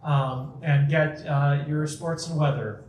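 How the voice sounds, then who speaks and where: A man from Chicago giving a public talk and taking audience questions, lively, with quick asides and restarts. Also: fairly high pitch, a little hiss or hum. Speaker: guest speaker. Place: community center hall. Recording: echoey hall, mic at a distance.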